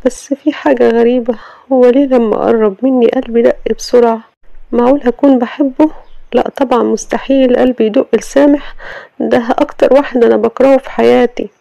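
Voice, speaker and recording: Narrator putting on a young wife, Egyptian accent, high lifted pitch, sharp indignant retorts, gravelly edge, dry close sound. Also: female voice, fairly even intonation, fairly quick, no echo, dull audio. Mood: brooding. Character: young wife, defiant, quick-tempered and proud. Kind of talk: storybook audio drama.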